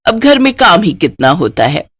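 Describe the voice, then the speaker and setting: A woman's voice: lowish voice, sing-song, moderate pace, a bit bright, husky, no echo, heavily acted. A woman voicing a bossy, nagging middle-aged mother-in-law in a cartoon story.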